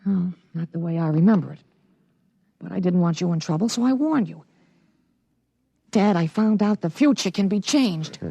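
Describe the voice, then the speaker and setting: Casting: precocious thirteen-year-old with an old soldier's certainty, matter-of-fact, insistent, 1950s radio drama, close dry sound. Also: male voice, high voice, moderate pace, gravelly, long pauses, mellow, no room sound. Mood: earnest, insistent.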